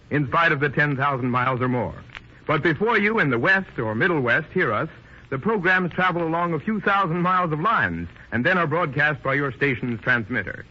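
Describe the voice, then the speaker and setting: A man's voice: fairly high pitch, muffled recording. A man presenting on 1930s radio as announcer and host, with a formal showman's delivery, on an old band-limited recording.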